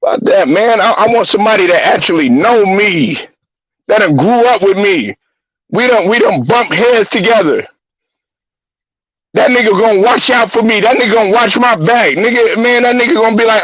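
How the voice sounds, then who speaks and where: A man with a strikingly high voice rants bluntly and heatedly, hammering the same phrases again and again. The sound is dull and lo-fi, close and dry with no room around it. He delivers it as a host riffing off the cuff. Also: normal speed, fairly bright tone, dry recording. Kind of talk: profane sports-talk rant.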